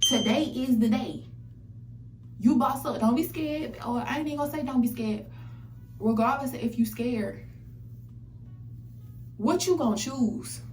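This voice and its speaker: A woman's voice: on the high side, unhurried, long pauses, darkish tone, soft background music. A young woman giving a casual motivational monologue, blunt, profane and emphatic, repeating phrases for effect. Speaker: self-help vlogger. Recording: echoey, distant mic, background noise.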